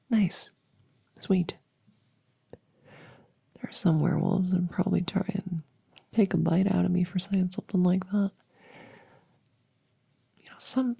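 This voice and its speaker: Friendly female witch vet, acted by a woman for ASMR roleplay: mellow, unhurried, gentle, goofy, halting and reassuring. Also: on the low side, full character voice. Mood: fond, teasing. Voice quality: soft, breathy, often whispering, with creak. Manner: gentle, chatty, halting, teasing. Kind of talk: soft ASMR audio roleplay.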